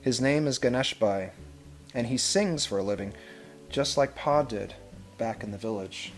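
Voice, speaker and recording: A man reads a picture book aloud as a school head would to children, with measured, expressive phrasing and pauses. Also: medium pitch, soft background music. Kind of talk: storybook read-aloud with brief asides.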